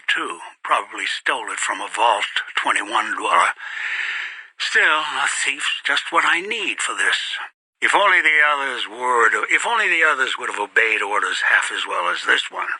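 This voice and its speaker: An actor playing a bitter, greedy old man in a video game, scheming and commanding with contempt in a raspy, breathy voice. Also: medium pitch, pushed and bright, dry recording, heavily acted, poor audio. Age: older. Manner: contemptuous, commanding, muttering to himself. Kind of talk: video game character voice acting.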